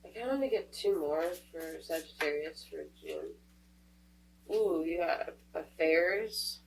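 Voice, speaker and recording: Stuffy-nosed woman chatting thru a tarot reading, lowish voice, mic kinda far off w faint hum. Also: sing-song, a little slow. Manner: chatty, thinking aloud with fillers. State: stuffed up from a cold. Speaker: tarot reader.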